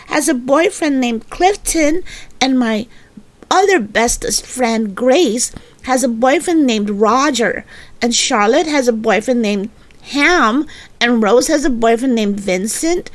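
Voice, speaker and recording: Lady doing a bratty dramatic little kid, girl, high voice that jumps all over, huffy storybook character. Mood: whiny. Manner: childish grammar, emphatic protesting.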